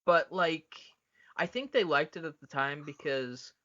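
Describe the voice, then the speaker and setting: Male podcast co-host, forceful bright voice, opinionated and sweary fan talk, slightly muffled lo-fi recording. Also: on the high side, a little slow. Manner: opinionated, blunt. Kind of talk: casual, profane fan discussion.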